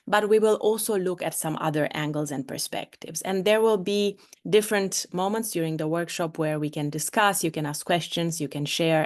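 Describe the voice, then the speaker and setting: Low-voiced woman leading a webinar. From Romania. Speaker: coach and trainer leading a webinar. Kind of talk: live online workshop talk. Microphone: video call.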